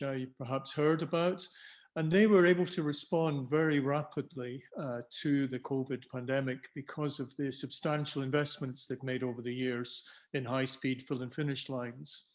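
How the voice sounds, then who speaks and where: A hoarse man moderating a webinar, briskly introducing the next speaker. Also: a little high, steady tone. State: hoarse, clearing throat. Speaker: seminar moderator.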